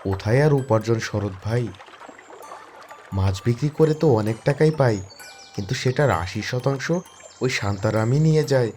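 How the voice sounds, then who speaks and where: A man's voice: medium pitch, normal speed, long pauses, a little mellow, faint music behind, natural voice. A man voicing a poor village fisherman in a cartoon, complaining in a resigned way.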